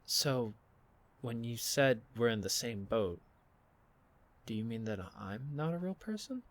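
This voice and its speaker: A man playing a blunt, bewildered and wary young man in an audio drama, with halting, incredulous delivery. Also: mid-range voice, lively intonation, natural voice. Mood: uneasy, resigned. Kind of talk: audio fiction podcast acting.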